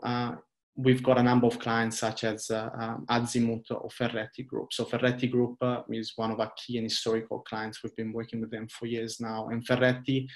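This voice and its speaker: A man in his thirties with an Italian accent, presenting his software company to investors over a webcam video call, earnest and fluent with frequent fillers. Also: medium pitch. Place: small indoor room. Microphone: laptop webcam video call.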